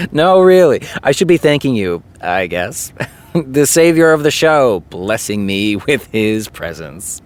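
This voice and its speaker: A man's voice: medium pitch, sing-song, takes it slowly. A man playing a sarcastic, prickly writer in his thirties, with a dry, mocking way of talking. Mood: bitter. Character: sardonic male writer-showrunner, bitter and prickly. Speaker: actor in a fiction podcast. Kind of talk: audio drama acting.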